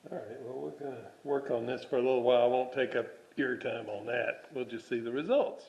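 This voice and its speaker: A man giving a casual hands-on workshop demonstration, halting and thinking aloud as he works. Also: mid-range voice, gravelly, darkish tone, a little hiss or hum. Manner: musing aloud, halting, thinking while working. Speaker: hobbyist presenter of a restoration video series. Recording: dull, roomy home workshop recording.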